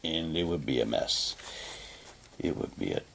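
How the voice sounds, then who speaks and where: A man's voice: rumbling low, expressive, measured pace, vocal fry, very noisy. A man casually reading printed material aloud and commenting on it, with offhand muttered asides. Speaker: unboxing presenter.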